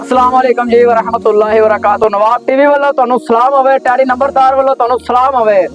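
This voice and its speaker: A man's voice: very high voice, fairly even intonation, quick pace, faint music behind. A man with a Pakistani Punjabi accent addressing viewers directly as a channel presenter, making a moral appeal.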